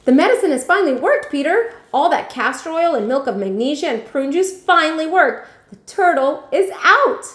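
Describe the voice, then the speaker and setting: A woman voicing an excitable, loud grandmother in a storybook, exclaiming and shouting. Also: high voice, moderate pace, few pauses, voice pushed up. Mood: jubilant. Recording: echoey room.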